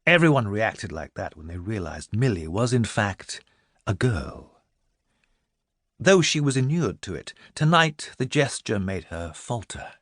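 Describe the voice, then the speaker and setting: A man's voice: on the low side, big pitch swings, measured pace, a bit bright, no room sound, clean studio sound. A man reading a story as an audiobook narrator, in dramatic scripted narration.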